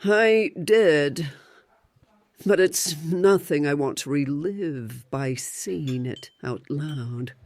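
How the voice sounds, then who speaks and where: A woman's voice: low-pitched, extremely slow, a little mellow, full character voice. A performer voicing a bone-weary, haunted woman in a fantasy audio drama, tired and reluctant in delivery. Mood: haunted reluctance.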